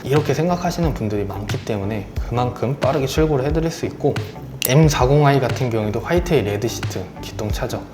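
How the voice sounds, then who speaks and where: A man working as a car dealer, giving a polite and persuasive sales pitch on promotions while speaking low because of a sore throat. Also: animated, quick pace. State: sore throat, speaking lower than usual. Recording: roomy echo with background music.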